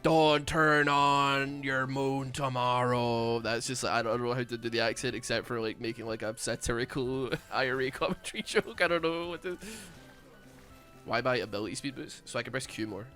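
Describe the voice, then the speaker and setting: A man's voice: mid-range voice, quick pace, fairly bright tone, faint music behind. A Scottish man with a Glaswegian accent and a lisp, mumbling and rambling in casual chat while streaming a game. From Scotland. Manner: mumbling, rambling with many fillers.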